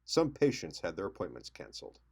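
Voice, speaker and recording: A man's voice: low voice, huge pitch swings, fairly quick, vocal fry, darkish tone, breathy edge. A young man reading a scripted local news report as a reporter. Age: young adult.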